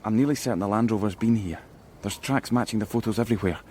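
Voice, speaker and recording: A man's voice: fairly low pitch, fast, darkish tone, a little hiss or hum, lightly acted. An actor playing a plain-spoken male police officer in the field in a radio drama. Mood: businesslike.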